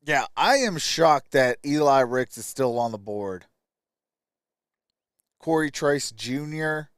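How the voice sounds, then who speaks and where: A man's voice: fairly high pitch, animated, slow, clear, resonant tone, no room sound, studio quality. A man hosting a live stream, giving casual draft commentary and reading out stats in clipped phrases.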